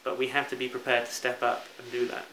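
Bright-voiced British preacher in an echoey room. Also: male voice, medium pitch, completely flat delivery, quick pace. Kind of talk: sermon. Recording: roomy echo, faint background noise.

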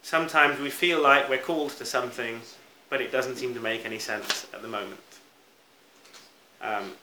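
A man's voice: mid-range voice, steady tone, moderate pace, sharp tone. A British man preaching a sermon in an earnest, reflective manner, stressing key words as he goes. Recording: roomy echo, faint background noise.

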